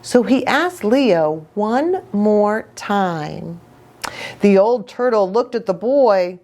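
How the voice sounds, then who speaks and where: A woman's voice: mid-range voice, expressive, slow, darkish tone. A woman reading a children's story aloud expressively, adding little asides for young listeners. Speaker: children's storybook reader, pastor.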